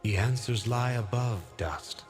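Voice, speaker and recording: Mystical mentor spirit played by a man, medium pitch, calm and cryptic, loud game music behind. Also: natural voice. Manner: measured, enigmatic. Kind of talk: video game character acting.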